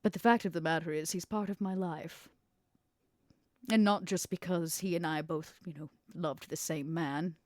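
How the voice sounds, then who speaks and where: Scheming vampire businesswoman acted by a woman, lowish voice, theatrical and insinuating, close dry sound, game podcast. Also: fairly even intonation, normal speed, no room sound, natural voice. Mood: grave, confiding. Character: Vera Volkov, scheming, worldly female vampire businesswoman. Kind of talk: in-character tabletop roleplay.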